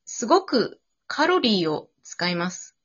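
A woman's voice: mid-range voice, big pitch swings, a little slow, a bit bright, husky. A woman chatting casually after an improv drama, switching between polite and informal speech. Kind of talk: casual post-show chat. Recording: dull lo-fi audio.

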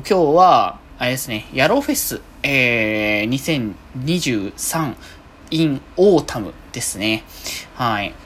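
A woman hosting a casual solo podcast, rambling along and often correcting herself as she goes. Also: very deep voice. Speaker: podcast host. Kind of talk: casual solo free talk.

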